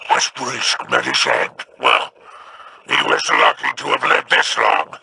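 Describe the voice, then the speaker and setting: An actor voicing a cunning alien pirate captain in a video game, sly and menacing, with faint noise behind. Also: male voice, medium pitch, huge pitch swings, a little slow, creaky, sharp tone, full character voice. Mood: musing. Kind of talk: game voice acting.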